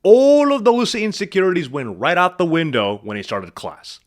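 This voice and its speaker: Speaking coach guy breaking down a client clip, lively up and down voice, keeps asking you questions. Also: high voice, normal speed. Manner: animated, asks the viewer rhetorical questions. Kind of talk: coaching commentary on a video.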